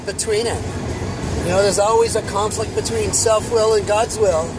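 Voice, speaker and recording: A man's voice: high-pitched, fairly even intonation, normal speed, over music, poor audio. A man in his fifties, a bishop, talking in a rambling, reflective way with many restarts while walking outdoors and filming himself on a phone. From Canada (Ontario). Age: 50s. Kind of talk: casual vlog monologue. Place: outdoors on a winter street, walking. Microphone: handheld phone camera. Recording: loud outdoor traffic noise.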